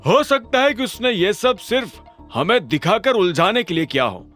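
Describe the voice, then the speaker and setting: A male narrator voicing a blunt, excitable mafia boss in a storybook audio drama. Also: sits high, normal speed, soft background music, pitched above the performer's own voice.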